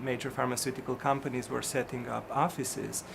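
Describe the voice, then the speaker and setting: A middle-aged physician from Romania, answering interview questions in a hesitant way, with many ums and restarts. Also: male voice, medium pitch, level tone, a little fast, vocal fry, noisy background.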